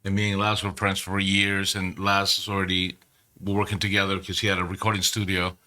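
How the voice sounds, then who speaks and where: A man in his forties, born in Peru, a band member telling animated, profane stories in a casual interview. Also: low voice, a little fast. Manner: animated, profane, rambling storyteller.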